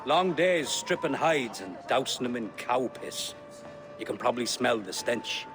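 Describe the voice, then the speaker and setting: Ator fazendo plebeu irlandês tagarela, muito animado. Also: male voice, medium pitch, measured pace, lightly acted. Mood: chatty, folksy. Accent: Irish. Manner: folksy, lively, talkative. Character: cheerful chatty common man, a hard-working city tanner. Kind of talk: video game character dialogue. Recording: loud music behind.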